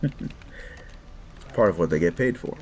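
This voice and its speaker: A man's voice: sits low, lively intonation, slow pace. A man playing a smug, sleazy werewolf boss in an audio roleplay, talking down to the listener in a mocking, patronizing drawl. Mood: mocking, needling. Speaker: office boss.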